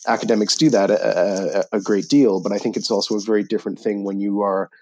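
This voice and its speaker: A middle-aged man from Canada being interviewed on a podcast, speaking in a measured way with many pauses and fillers. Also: on the low side, fairly even intonation, darkish tone, muffled recording. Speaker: guest, author and UN official. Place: office in Jerusalem.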